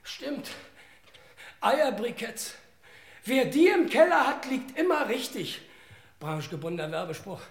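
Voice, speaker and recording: A droll, excitable sidekick to a detective in an old crime radio play. He has a high-pitched voice with a crackly rasp of fry. His phrases are clipped, deferential and mock-formal. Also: measured pace, pauses often, full character voice. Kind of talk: 1950s-60s German crime radio play acting.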